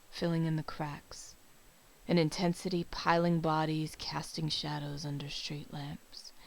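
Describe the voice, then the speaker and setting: A woman giving a dramatic, solemn poetry reading, measured, with phrases that often trail into breath. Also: sits low, vocal fry, rough recording. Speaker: poetry reader.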